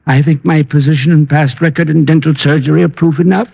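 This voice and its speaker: A man's voice: a little high, fairly even intonation, fast, creaky, slightly rough. A man playing an older, self-important oral surgeon with formal manners, in vintage radio drama style. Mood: indignant. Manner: formal, courteous, indignant when challenged. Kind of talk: 1940s-50s radio acting. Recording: muffled old radio recording.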